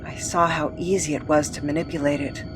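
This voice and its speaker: Low-pitched, wary captive, played by a woman. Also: little pitch movement, a little fast, loud music behind the voice, natural voice. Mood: hesitant, recalling. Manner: casual, hesitant, wary.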